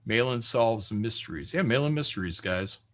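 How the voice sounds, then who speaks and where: A man's voice: lowish voice, expressive, moderate pace, a bit bright. A man hosting a livestream, chatting casually and reading out viewer comments in an irreverent, joking, profane way. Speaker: livestream host.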